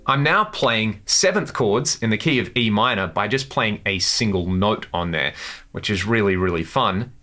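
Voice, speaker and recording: A man's voice: lowish voice, animated, normal speed, bright, forceful tone. A man hosting a casual guitar gear tutorial, talking through settings with enthusiasm. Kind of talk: casual instructional demo to camera.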